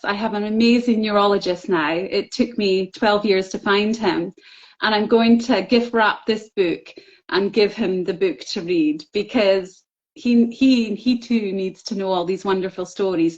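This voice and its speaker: A middle-aged woman shares her story in an open, earnest way, filling pauses with "um". Her pitch sits in the middle of the range. The audio is a bit muffled and lo-fi. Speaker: guest, book contributor. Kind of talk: casual interview chat. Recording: slightly dull, lo-fi audio.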